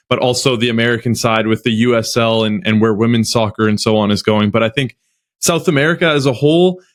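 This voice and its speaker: Man, podcast host, mid-pitched voice, casual, stop-start phrasing. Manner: halting, repeats words as he talks.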